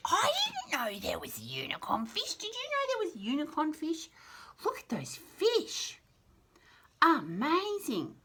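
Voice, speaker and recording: A woman hosts a read-aloud lesson for very young children. Her delivery is sing-song and highly varied in pitch, with exaggerated enunciation. She is encouraging and slips into chatty asides as she explains things. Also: bright, forceful tone, a little hiss or hum. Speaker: children's storyteller and host.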